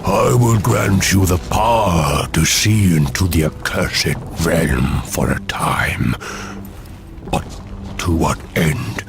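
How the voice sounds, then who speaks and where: An actor playing a weary, cursed ancient giant guardian in a fantasy game, mournful and solemn. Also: male voice, sits low, slow, gravelly, background noise, exaggerated voice. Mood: weary, resigned. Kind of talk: dramatic fantasy game acting. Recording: music behind the voice.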